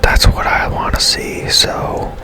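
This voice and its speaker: A man whispering casual, halting ASMR gameplay commentary in a breathy, unvoiced voice, like a channel host.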